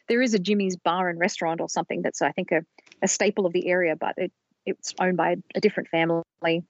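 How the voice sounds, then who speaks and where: A woman co-hosting a podcast, explaining research in a measured storytelling way on a slightly dull, lo-fi recording. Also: fairly low pitch, no room sound. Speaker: podcast co-host presenting research.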